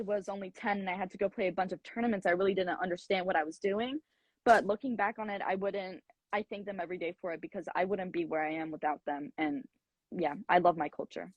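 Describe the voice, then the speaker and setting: A woman's voice: mid-range voice, steady tone, a little fast, no echo. A young woman around twenty, a college golfer from the United States, answering interview questions in a chatty way with frequent fillers.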